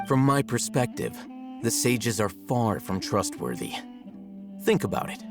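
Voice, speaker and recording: An aloof young male scholar from a video game, acted by a man. His voice is on the low side, measured and detached, with music clearly behind it. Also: close to an everyday voice.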